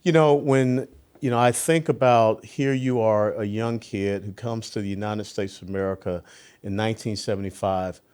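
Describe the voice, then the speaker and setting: Low-voiced male interview host. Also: fairly even intonation, normal speed, a little mellow, clear, resonant tone. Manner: conversational, probing questions.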